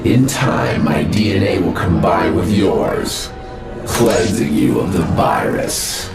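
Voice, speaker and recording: An ominous alien prisoner speaks for a video game in a very low, echoing voice. The delivery is grave and prophetic, weighted with suffering and unhurried, over music. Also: male voice, animated, exaggerated voice. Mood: bitter, intense. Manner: grave, slow, portentous delivery. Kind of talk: dramatic video game voice acting.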